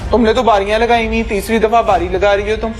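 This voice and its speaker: A woman's voice: mid-range voice, fairly even intonation, quick pace, faint music behind. A woman questioning someone, persistent, sarcastic and scolding. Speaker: interviewer. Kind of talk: probing interrogation.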